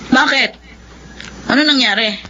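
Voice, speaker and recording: A woman's voice: sits high, unhurried, sharp tone, slight background noise. An actress in a Filipino radio drama playing a middle-aged mother, startled, suspicious and anxious. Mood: alarmed. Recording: slightly muffled radio audio.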